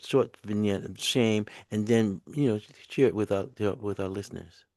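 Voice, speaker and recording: A man's voice: on the low side, moderate pace, mellow, no echo, clean studio sound. A male interviewer asking rambling questions with frequent restarts. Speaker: interviewer, host.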